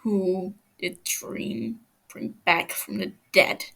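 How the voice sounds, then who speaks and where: A woman voicing a calm, menacing, wry male torturer with a measured, growling delivery in a story reading. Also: mid-range voice, creaky, breathy edge, full character voice.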